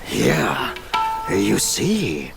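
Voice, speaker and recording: Boisterous gravelly game creature, really slow and theatrical, loud music behind him. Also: male voice, fairly low pitch, huge pitch swings, exaggerated voice. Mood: carefree, pleased. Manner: sing-song, exclamatory. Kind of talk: theatrical game character acting.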